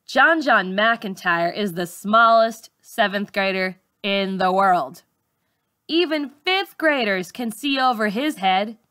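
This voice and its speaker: A woman reading a first-person story aloud in an expressive, dramatic teacher's storytelling style. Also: medium pitch, slow, clean tone.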